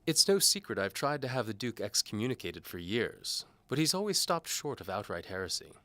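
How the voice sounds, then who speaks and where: A man's voice: on the low side, sing-song, fairly quick, sharp tone, dry recording, pitched above the performer's own voice. A man voicing a pompous, menacing bishop in an audio drama, speaking formally and with condescension. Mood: smug, menacing. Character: pompous, menacing bishop interrogating a prisoner, patronizing. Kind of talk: audio drama character acting.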